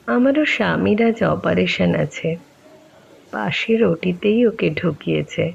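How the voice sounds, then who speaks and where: A woman playing a weary, lonely middle-aged woman in an audio story, resigned and motherly, with a gravelly voice on a muffled recording. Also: fairly low pitch, expressive, slow, exaggerated voice. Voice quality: creaky, gravelly voice. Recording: dull, muffled sound with faint noise.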